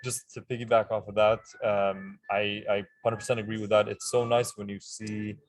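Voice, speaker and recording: A young man with a lowish voice talks in an easy, enthusiastic ramble, full of fillers and restarts. The sound is close and dry, with no room in it. He is a language teacher chatting with a colleague. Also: level tone, normal speed, dry recording. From Levant. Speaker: Arabic teacher and language coach. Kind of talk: casual conversation.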